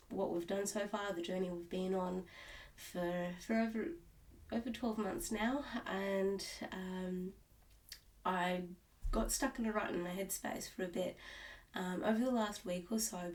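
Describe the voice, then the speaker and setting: A woman's voice: on the low side, a little mellow, recorded from a distance. An Australian woman vlogging about cross-stitch in a casual, rambling way, sounding nasal and stuffed up while recovering from illness, talking at home. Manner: rambling, with many restarts and fillers. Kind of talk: casual chat to camera. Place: at home, indoors.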